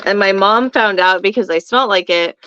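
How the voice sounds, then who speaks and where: A woman's voice: mid-range voice, fairly quick, fairly bright tone, smooth, no echo, muffled recording. A middle-aged woman chatting casually as a co-host, telling stories and laughing easily. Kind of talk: casual chat.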